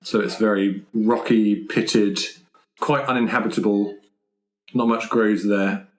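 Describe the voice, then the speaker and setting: Male tabletop player, lowish voice, casual improvised description in conversation. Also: steady tone, measured pace, poor audio. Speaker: roleplaying game player.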